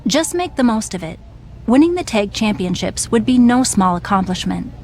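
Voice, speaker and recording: Brisk, no-nonsense woman official. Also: medium pitch, very animated, darkish tone, slight background noise. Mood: brisk, firm. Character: brisk, no-nonsense female wrestling official, wry. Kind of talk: video game cutscene acting.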